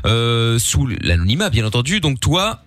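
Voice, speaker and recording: A man hosting a late-night call-in radio show, chatty and quick with lots of fillers and easy agreement. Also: medium pitch, sing-song, a bit bright. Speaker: radio host. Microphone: studio microphone.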